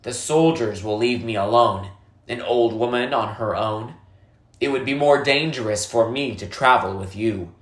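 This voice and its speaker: A storybook narrator voicing a reserved, sympathetic old village woman who speaks plainly and gravely. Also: mid-range voice, normal speed, lightly acted. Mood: sympathetic, firm. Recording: echoey, roomy sound.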